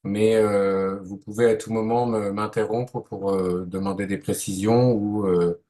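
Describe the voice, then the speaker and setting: A man's voice: on the low side, steady tone, a little slow, warm tone. A man, a physician and researcher, opening his talk on a video call, polite and repeating words as he starts. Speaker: guest speaker, physician researcher.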